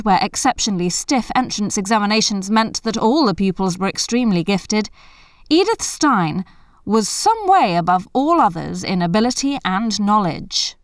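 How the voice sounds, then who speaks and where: A woman reading a scripted biographical narration in a measured, formal manner. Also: on the high side, smooth, no room sound, clean studio sound.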